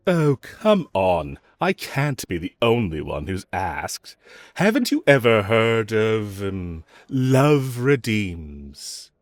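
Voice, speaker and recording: Male actor as teasing villain for audio roleplay: lowish voice, swooping inflection, smug, scheming, flirty. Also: big pitch swings, no echo. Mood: persuasive, flirty. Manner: teasing, coaxing, self-assured, drawn-out phrasing. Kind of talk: scripted audio roleplay addressed to the listener.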